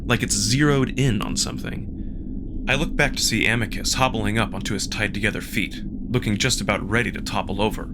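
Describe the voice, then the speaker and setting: A man giving an expressive, dramatic first-person narration of a visual novel, acting out the action. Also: on the low side, fairly quick, very noisy. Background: music.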